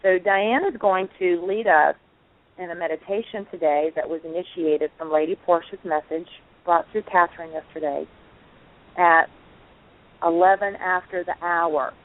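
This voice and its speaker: Woman co-host, enthusiastic, lowish voice, tinny phone line. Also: moderate pace. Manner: enthusiastic, effusive. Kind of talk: casual chat on a call-in show.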